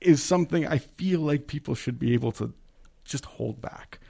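A man's voice: mid-range voice, normal speed, vocal fry, dark tone, husky. A man in a casual discussion, talking hesitantly with frequent restarts and self-deprecating asides. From Italian immigrant family background. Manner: hesitant, self-correcting, laughs at himself. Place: remote call.

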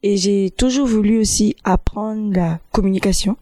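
A young woman university student answering interview questions in a hesitant, halting way, often restarting her sentences. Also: medium pitch, warm tone, dry recording, clean studio sound. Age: young adult.

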